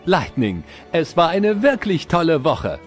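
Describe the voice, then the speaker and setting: A suave rival race car in an animated film. The voice sits fairly high and moves expressively, courteous with a playful competitive streak, with light music behind. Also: male voice, measured pace. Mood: gracious. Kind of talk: German-dubbed animated cartoon dialogue.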